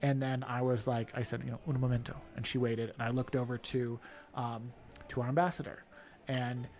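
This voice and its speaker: A man of middle pitch tells animated stories in casual podcast chat, laughing often between lines. His delivery stays lively and conversational. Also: fairly quick. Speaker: podcast co-host and festival performer.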